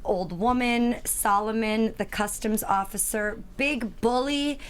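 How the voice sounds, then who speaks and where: A woman's voice: a little high, takes it slowly, clean tone. A woman, an actor, casually introducing herself and her parts at a table read.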